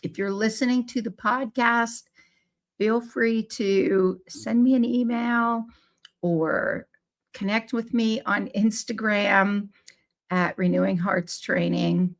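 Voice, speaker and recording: A woman hosting a live podcast on play therapy, hoarse from laryngitis, with a scratchy, squeaky voice and an unhurried, friendly delivery with small self-corrections. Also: steady tone. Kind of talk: live-streamed podcast talk.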